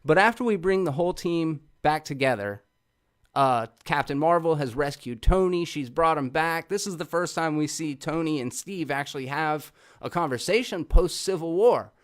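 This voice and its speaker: A man's voice: on the high side, expressive, normal speed. A man hosting a casual film review show, effusive and swearing freely as he talks. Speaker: host of a movie review show.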